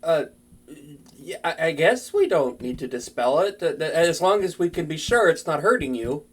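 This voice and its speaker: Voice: medium pitch, very animated, normal speed. A performer voicing an earnest, flustered young wizard in an intimate fantasy audio drama, chatty and prone to anxious stammering. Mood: worried, focused.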